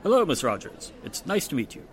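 A man's voice: fairly high pitch, big pitch swings, a little fast, fairly bright tone. A man playing a polite, tactful, formal society husband in a 1940s-style radio drama. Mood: mild, tactful.

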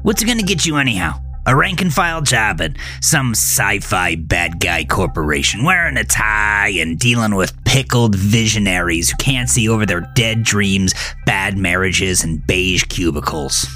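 Brash male imaginary friend for horror audio drama, acted by a male narrator: low voice, swaggering, goading, persuasive. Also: expressive, normal speed, hardly any pauses, bright, forceful tone, faint music behind.

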